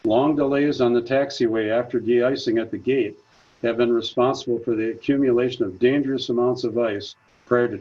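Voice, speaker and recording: A man delivers a prepared talk at a senior center, moving steadily through his notes. His voice is husky and sits at an ordinary pitch. The recording sounds dull and lo-fi. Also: a little mellow. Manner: measured, reads from notes. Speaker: presenter.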